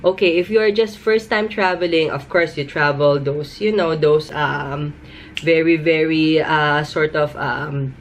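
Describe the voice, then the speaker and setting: A woman with a Filipino accent talks casually, as a vlogger giving advice. Her voice sits a little low, and she leans on repeated words for emphasis. There is some noise behind her. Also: fairly even intonation, unhurried. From Philippines. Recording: noticeable background noise.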